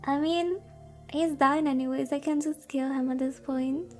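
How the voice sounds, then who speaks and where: Casting: female game streamer, high mellow voice, playful reader who drops in exclaimed asides, soft music behind. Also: steady tone, a little slow. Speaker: streamer reading a visual novel aloud. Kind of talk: livestream chat mixed with story reading.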